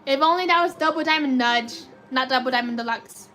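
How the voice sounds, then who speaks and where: A woman with a robotic-sounding voice chatting excitedly through a live slot machine stream, reading and answering chat comments. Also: high-pitched, fairly quick, a little hiss or hum. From United States. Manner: chatty, excitable cheering at spins.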